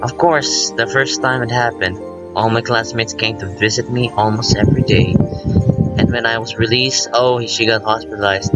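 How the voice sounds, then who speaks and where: A man giving casual, rambling let's play commentary, excitable and informal, breaking off his own sentences and reading visual novel text aloud. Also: mid-range voice, normal speed, poor audio. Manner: informal, self-interrupting, excitable. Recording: music playing behind the voice. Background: visual novel game music.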